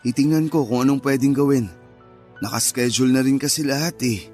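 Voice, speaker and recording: A man playing a gentle, protective grieving husband in a Filipino radio drama, with a soothing, earnest manner. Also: medium pitch, brisk, faint music behind, natural voice. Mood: reassuring, earnest.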